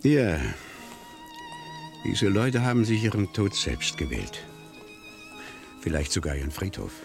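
An actor in a 1970s German radio play, playing a worldly, middle-aged French war reporter with a dry, wry, emphatic delivery. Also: male voice, on the low side, very animated, a little slow, gravelly, music in the background, exaggerated voice. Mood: grave, bitter.

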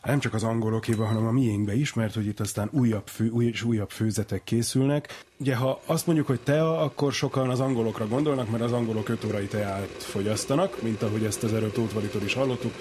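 A man's voice: on the low side, a little mellow, a little hiss or hum. A man hosting a casual studio conversation, asking questions and commenting. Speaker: radio show host.

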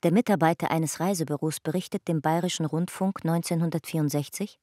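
An actress as a sober documentary narrator in a radio drama, giving measured, matter-of-fact scripted descriptions. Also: female voice, low-pitched, steady tone, dark tone, dry recording, clean studio sound. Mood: sober, factual.

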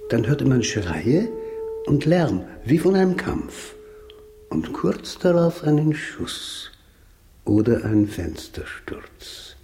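Slow, intense engineer turned sleuth. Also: male voice, medium pitch, big pitch swings, dark tone, breathy edge, faint music behind, exaggerated voice. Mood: grave, suspenseful. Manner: deliberate, dramatic, insistent, clipped phrases. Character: obsessed, self-assured engineer and war veteran turned sleuth. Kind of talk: radio play acting.

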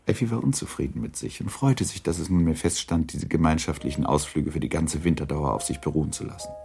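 Calm radio play narrator, dark mellow gravelly voice, measured delivery. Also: male voice, rumbling low, soft background music. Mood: calm, detached. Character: calm, detached literary narrator.

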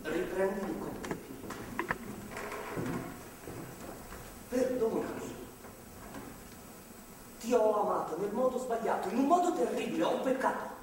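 A male stage actor playing a volatile born-again reformed drunk, pleading haltingly with his wife in a theatrical, impassioned way. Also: high-pitched, lively intonation. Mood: contrite, broken. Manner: halting, imploring, swinging from hushed to shouting. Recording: echoey theatre hall, distant mic, faint noise.

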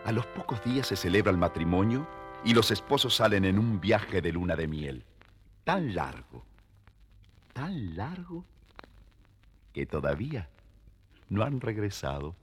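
Male narrator, fairly deep, expressive melody, children's storybook narration, scripted, soft music behind. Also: unhurried, long pauses.

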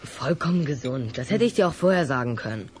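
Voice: medium pitch, expressive, a little fast, darkish tone, soft background music, close to an everyday voice. An actor playing a cheeky, reluctant young schoolboy who talks in a grudging, questioning way in a children's audio drama. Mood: defiant.

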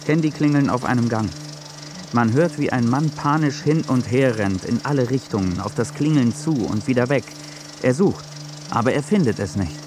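An actor playing a film-crew narrator, reading scene directions in a German radio play. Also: male voice, medium pitch, moderate pace, noisy background, close to an everyday voice. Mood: observing.

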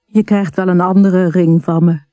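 A woman reading the part of a gentle, reassuring young husband in a story. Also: fairly low pitch, little pitch movement, moderate pace, no echo, highs cut off, soft background music, natural voice.

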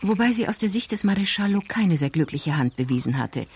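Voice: mid-range voice, lively intonation, a little fast, slight background noise. A narrator reading scripted literary prose for a radio crime drama.

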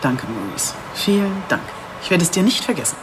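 An actress playing a tough, curt police chief inspector in a radio drama, speaking in a brisk, blunt, commanding way. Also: female voice, lowish voice, very animated, heavy background noise. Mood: determined.

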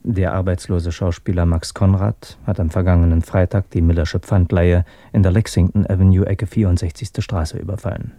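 A man's voice: deep voice, flat delivery, normal speed, few pauses, dark tone, no room sound. An actor playing a keen, methodical male police detective reporting to his superior in a German radio crime drama.

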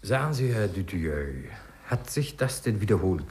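A man's voice: fairly low pitch, very animated, moderate pace, a little mellow. An actor playing an affable, self-assured male doctor in a 1950s radio play, courteous and probing. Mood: curious, probing.

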